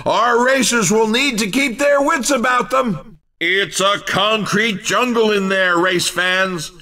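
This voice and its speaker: An actor playing an excitable, over-the-top race commentator in a video game, calling out showy, punning hype. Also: male voice, high-pitched, slow pace, recorded from a distance, full character voice. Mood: playful.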